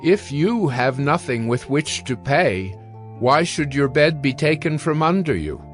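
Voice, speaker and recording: A man's voice: fairly high pitch, very animated, a little slow, faint music behind. A man narrating scripture as a dramatized audiobook, in a solemn, admonishing manner.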